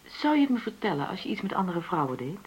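Husky, frank woman in her forties. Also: mid-range voice, sing-song, fast, lo-fi sound. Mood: hurt, probing. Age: 40s. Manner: direct, probing, emotional. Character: jealous, frank forty-year-old woman negotiating with her partner. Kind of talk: naturalistic radio drama dialogue.

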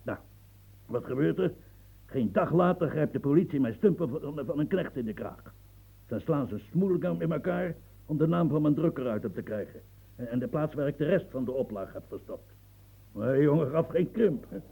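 An actor playing a proud, blustering old marshal in a radio play, gravelly and coughing, swinging from mumbles to outbursts. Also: male voice, fairly high pitch, lively intonation, normal speed, warm tone, exaggerated voice, poor audio. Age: older.

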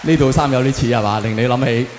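A man's voice: medium pitch, fast talker, noisy background. Hong kong cantonese guy doing standup, chatty, asks the room questions, big hall reverb. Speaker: stand-up comedian. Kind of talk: stand-up comedy monologue. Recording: echoey hall with long reverb.

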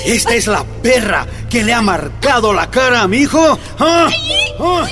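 An actor playing a cruel, vengeful army colonel in a radio drama, snarling and shouting insults. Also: male voice, extremely high pitch, expressive, a little slow. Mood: menacing. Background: dramatic music.

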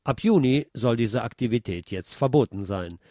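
A man's voice: medium pitch, lively intonation, moderate pace, dark tone, no echo, studio quality. A man presenting scripted local news on a radio show, with music behind him.

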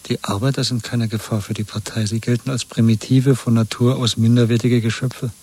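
Actor as weary dissident man in a radio play: husky, breath in the tone, measured, wary and resigned. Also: mid-range voice, level tone, mellow, close to an everyday voice. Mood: resigned, bitter. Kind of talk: 1970s German radio drama acting.

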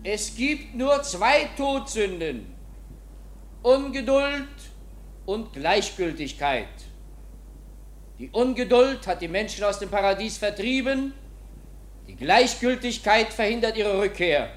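A man's voice: high-pitched, lively intonation, slow pace, long pauses. A man in a German radio play acting a grave preacher, declaiming in a condemning sermon manner. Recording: echoey large space, faint noise.

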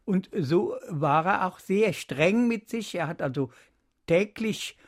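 An older man reminiscing in an interview, with halting, emphatic phrasing. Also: high-pitched, animated. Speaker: interviewee, former radio drama editor.

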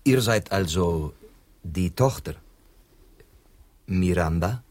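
A man's voice: deep voice, animated, takes it slowly, a little mellow, full character voice. A man playing a wry, courteous half-poet in a German radio drama. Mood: courteous, ironic. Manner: courteous, ironic, peppering speech with Spanish.

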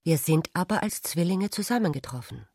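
A woman's voice: on the low side, expressive, a little fast, warm tone, breathy edge, dry recording. Articulate, insistent woman, a provocative sister, dry studio. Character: Agathe, sharp-witted, provocative, tired sister of Ulrich. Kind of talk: literary radio drama.

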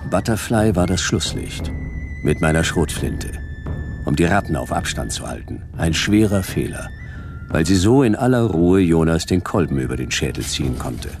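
A hard-boiled detective from a sci-fi radio play, low in pitch with lively inflection. He tells his story in short, dry, ironic phrases over a music bed. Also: normal speed, gravelly, exaggerated voice. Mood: terse, wry. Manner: terse, dry, ironic, clipped sentences. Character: wry, laconic hard-boiled male private detective. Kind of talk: noir first-person narration in sci-fi radio drama.

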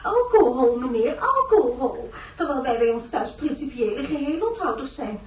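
Prim scandalized mum, high voice, echoey interview tape in a sci-fi radio play. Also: female voice, sing-song, normal speed. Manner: gushing, scandalized, repetitive. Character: indignant, prim teetotal mother, overexcited interviewee. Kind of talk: Dutch science fiction radio drama acting. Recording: echoey, distant, faintly noisy interview tape.

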